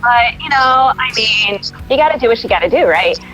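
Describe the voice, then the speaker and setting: A woman's voice: fairly high pitch, animated, fairly quick, fairly bright tone, soft background music, voice pushed up, low-quality recording. A woman playing an eager young job-seeker in a tabletop game, gushing and rambling with a naive air. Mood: rambling, sweet. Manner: gushing, rambling, filler-heavy.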